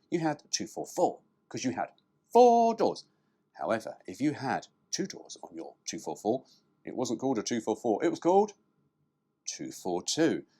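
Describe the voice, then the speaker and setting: A man's voice: medium pitch, darkish tone. A man reading a brochure aloud as a presenter, dropping in cheeky, irreverent, sweary asides.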